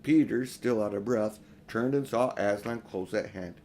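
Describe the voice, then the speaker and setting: A man's voice: on the low side, normal speed, darkish tone, slight background noise. An older man narrating a children's storybook as an amateur audiobook reader, in a plain, homely manner.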